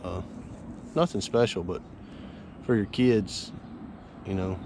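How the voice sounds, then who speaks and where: Charter captain, 30s, deep-ish easygoing voice, outdoor action-cam sound with light background noise. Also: male voice, slow pace. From Florida Panhandle, US. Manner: easygoing, rambling, coaching kids between asides. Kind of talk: casual outdoor vlog talk. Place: outdoors by a neighborhood pond. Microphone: wearable action camera.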